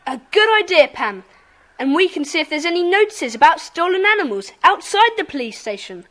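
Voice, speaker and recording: A bossy young schoolboy leader in a children's radio-style play. The voice is high and animated, with a brisk, commanding, organised delivery. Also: female voice, full character voice. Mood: thoughtful. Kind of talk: children's audio drama acting.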